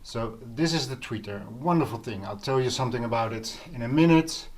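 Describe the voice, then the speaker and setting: A man hosting a casual audio gear vlog, explaining things informally and pausing mid-sentence as he goes. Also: mid-range voice. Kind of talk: casual informal product talk to camera.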